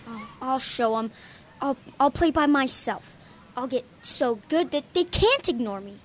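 A woman's voice: sits high, expressive, a little slow, a little hiss or hum. A woman playing an eager, determined young boy in an audio drama, given to mock sports commentary. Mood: defiant, determined.

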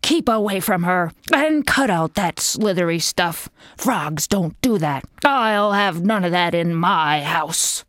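A woman's voice: on the low side, lively intonation, slow pace, hardly any pauses, fairly bright tone, dry recording, clean studio sound, pitched below the performer's own voice. A woman voicing a stern, protective mother frog who scolds emphatically. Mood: scolding.

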